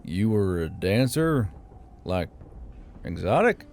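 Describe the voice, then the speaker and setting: A man voicing a gruff, bearded military officer, dry but kindly, in low-key audiobook dialogue. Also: sing-song, slow, darkish tone, full character voice. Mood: quiet concern. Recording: music behind the voice.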